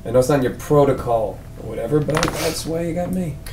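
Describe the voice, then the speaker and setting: A man's voice: fairly high pitch, animated, fast talker, close to an everyday voice. A man playing a cocky, foul-mouthed police detective, brash and slangy, in a script read. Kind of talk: table read of a film script. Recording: echoey room, distant mic, faint noise.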